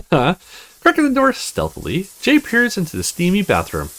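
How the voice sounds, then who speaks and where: A man's voice: sits high, wildly swooping pitch, a little slow, slight background noise, low-quality recording. A man hosting a gaming video, narrating a visual novel aloud and adding his own casual comments. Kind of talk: let's play narration, reading a story aloud. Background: soft guitar music.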